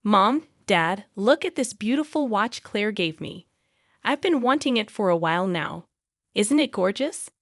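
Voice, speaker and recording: A woman's voice: medium pitch, lively intonation, measured pace, no echo, studio quality. A woman voicing a weak-willed, doubting husband in a narrated story, with a hesitant, evasive manner. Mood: pleased. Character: weak-willed, doubting husband, older than his wife.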